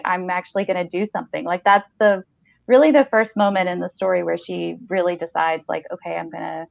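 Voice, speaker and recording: A woman's voice: medium pitch, creaky, no echo. A woman in her thirties on a video call, a podcast reader and co-host who reads and talks about short stories. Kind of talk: short story reading and casual book chat. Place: small room at home, video call. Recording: slightly dull video-call audio.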